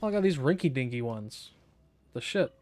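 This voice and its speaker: A man's voice: mid-range voice, lively intonation, normal speed, darkish tone, faint music behind. A young man giving casual, sweary let's-play commentary in an irreverent, offhand, slangy way. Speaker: gaming streamer.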